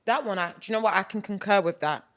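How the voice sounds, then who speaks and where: A young woman from London with a London accent peppered with Nigerian slang, hosting a casual podcast chat in an animated, chatty way. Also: mid-range voice. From United Kingdom. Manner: animated, chatty, quick to agree. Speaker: podcast host.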